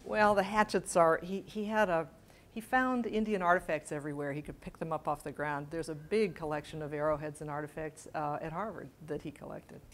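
An older woman speaks to a seated audience as a guest lecturer, her voice sitting a little low. She reads from letters with measured precision and comments informally as she goes. She is miked at the lapel in a library meeting room. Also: fairly even intonation. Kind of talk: public lecture with letters read aloud. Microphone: lapel mic.

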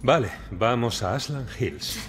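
A man playing a brooding, gruff but pleading ghost detective in a video game, speaking Castilian Spanish. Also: mid-range voice, lively intonation, measured pace, a little hiss or hum, lightly acted. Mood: insistent. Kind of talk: video game cutscene acting. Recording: prominent music under the voice.

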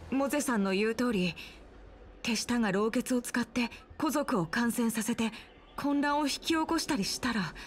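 A woman's voice: on the high side, lightly acted. A woman playing an earnest, worried young apprentice fighter in an anime game, questioning anxiously with music behind. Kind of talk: Japanese anime game voice acting.

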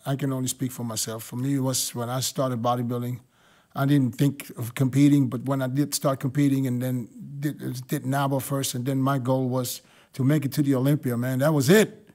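A man's voice: mid-range voice, flat delivery, fairly quick, a little mellow. A middle-aged man hosting a casual group chat on a video call, insistent and repetitive as he explains. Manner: insistent, repeats himself while explaining. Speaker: podcast host.

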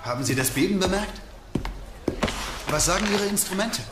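An excitable colonial observer in a documentary drama, high and very animated. He talks hurriedly and eagerly, with loud noise around him. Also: normal speed, roomy sound. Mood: urgent. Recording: loud noise behind dialogue.

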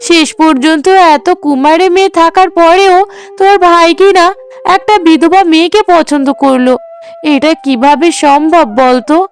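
Woman acting as older cartoon mother, raised high pitch, bitter, scornful, aggrieved, melodramatic. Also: moderate pace. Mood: aggrieved disbelief. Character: bitter, disapproving older mother of a grown son.

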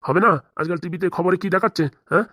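A man's voice: sits high, fairly even intonation, rapid-fire, pushed and bright, no echo. A man playing a stern, protective middle-aged father-in-law who lectures insistently in a comic cartoon. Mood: stern, indignant. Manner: insistent, lecturing, rhetorical questions. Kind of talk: comic cartoon drama dialogue.

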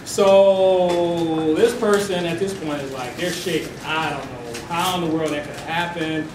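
A man from Detroit giving a loud, animated talk to a room, with no mic. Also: fairly high pitch, a little slow. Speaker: guest speaker. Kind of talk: storytelling presentation. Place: dim lecture room. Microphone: far camera, no mic. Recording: echoey room from far off, hiss.

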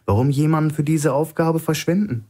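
A man's voice: a little high, fairly quick, warm tone, pitched above the performer's own voice, close to an everyday voice. Shrewd male hostage for thriller audiobook, played by a male narrator: raised voice, insistent, needling. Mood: pressing, provoking. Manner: insistent, reasoning, provoking. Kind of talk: audiobook character acting.

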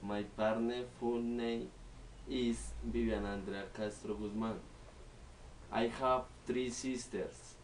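A young man from Colombia in his twenties, speaking English with a Colombian Spanish accent as a learner and reciting a self-introduction word by word with pauses. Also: mid-range voice, little pitch movement, far from the mic, slight background noise, rough recording. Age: 20s. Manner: halting, word-by-word delivery with pauses. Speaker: student. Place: small living room at home. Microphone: camera in front of the speaker.

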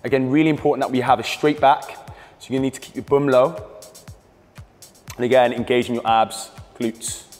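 A British man, a fitness coach, talking through exercises with steady coaching cues. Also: mid-range voice, brisk, long pauses. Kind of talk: instructional workout voice-over. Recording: reverberant hall with background music.

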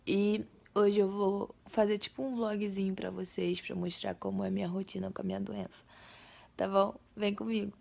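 A young Brazilian woman vlogging from her bedroom, her voice hoarse from a cold and rough with vocal fry. She talks in a relaxed, chatty way, drawing out words and slipping into a breathy half-whisper, close to a phone held at arm's length. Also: mid-range voice, moderate pace. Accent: Brazilian Portuguese. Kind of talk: casual vlog chat to camera. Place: small bedroom indoors. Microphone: phone or webcam at arm's length.

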